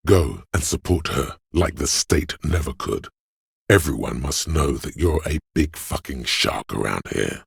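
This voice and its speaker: A man playing a swaggering, money-obsessed, suave inner voice in a video game, gravelly and husky, with a cocky, theatrical delivery. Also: extremely low pitch, dry recording, studio quality, exaggerated voice. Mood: smug, scornful. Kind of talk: video game voice acting, dramatic narration.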